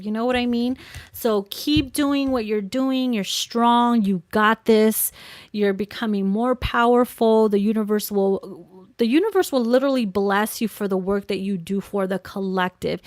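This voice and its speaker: A woman reads cards for her livestream viewers in a loose, chatty style. She sounds encouraging and informal, with a slightly hoarse voice at a middling pitch. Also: no echo. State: slightly hoarse from long talking. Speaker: tarot reader hosting a livestream. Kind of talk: casual live card reading addressed to viewers.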